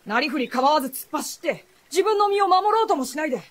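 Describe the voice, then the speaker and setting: Earnest, high-voiced, animated teenage boy. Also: fast. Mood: worried, angry. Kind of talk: radio drama acting.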